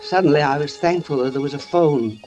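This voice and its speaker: An actress playing a kindly, wary older housewife and mother in a 1940s radio suspense drama, speaking gently and carefully. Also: sits low, brisk, over music, natural voice. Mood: uneasy narration. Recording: muffled old radio broadcast recording.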